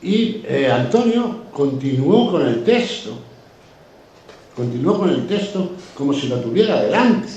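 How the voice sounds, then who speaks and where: A man's voice: on the high side, sing-song, measured pace, darkish tone. A man with an accent from Spain telling anecdotes casually, in an effusive, colloquial way with swearing. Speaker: guest. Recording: very echoey room, distant mic, faint noise.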